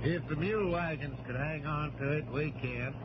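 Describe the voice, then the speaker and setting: An actor playing a folksy, confident American desert local who explains things plainly in a 1960s radio drama. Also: male voice, on the high side, moderate pace, lightly acted. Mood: cheerful, confident. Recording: muffled, very noisy vintage radio broadcast.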